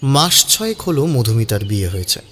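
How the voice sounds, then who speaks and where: A man's voice: medium pitch, very animated, a little fast, fairly bright tone. A man narrating a scripted story in a dramatic audiobook style. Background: background music.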